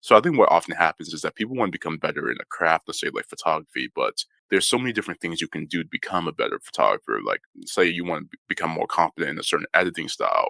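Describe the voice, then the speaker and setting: Young male podcast guest, low gravelly voice, quick rambly delivery, dry close sound. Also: no room sound. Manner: relaxed, rambling, many "you know" fillers. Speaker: photographer guest. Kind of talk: casual interview conversation.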